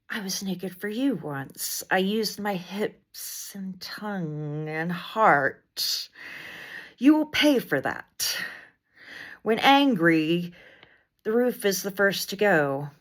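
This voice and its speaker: A woman reading poetry aloud as dramatic spoken word, in an intense, deliberate delivery of short, weighted phrases. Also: medium pitch, sharp tone. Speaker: poet reading poems aloud.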